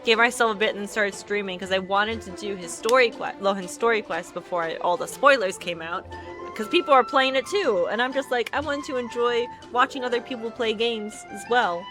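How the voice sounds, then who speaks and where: A woman's voice: a little high, a little fast. A woman game streamer chatting casually on a livestream in a rambling, giggly manner. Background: video game music.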